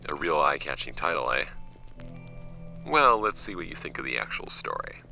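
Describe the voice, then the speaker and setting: A super deep crackly voiced amateur podcaster, wry and offhand, bright crisp edge, audio drama monologue. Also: male voice, sing-song, faint music behind, heavily acted. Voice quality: very deep, gravelly. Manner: wry, offhand, halting when ad-libbing, measured when reading. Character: flippant young man recording an amateur podcast, reading his late uncle's files.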